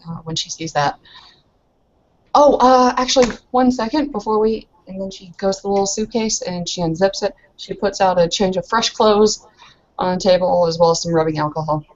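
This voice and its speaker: A woman with a slightly low voice chats and narrates as a player in a tabletop roleplaying game. Her delivery is casual. The audio is muffled and lo-fi. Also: normal speed, darkish tone.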